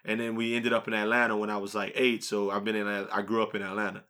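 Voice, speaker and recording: Guy podcast host, bright punchy voice, blunt and sweary, teasing his buddies. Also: lowish voice, little pitch movement, quick pace. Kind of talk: casual chat, debating with friends.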